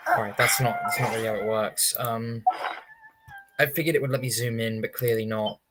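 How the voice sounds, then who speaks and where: A livestream gamer giving casual gameplay commentary in murmured asides. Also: female voice, extremely low pitch, steady tone, brisk, very noisy. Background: game music.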